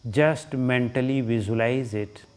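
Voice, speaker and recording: A man with an Indian accent leading a guided relaxation as a yoga teacher, giving short instructions with long pauses between them. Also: medium pitch, a little mellow, clean tone.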